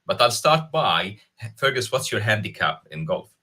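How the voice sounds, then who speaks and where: A man hosting a casual interview, speaking haltingly and often repeating words. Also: on the low side, very animated, bright, forceful tone. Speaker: interview show host.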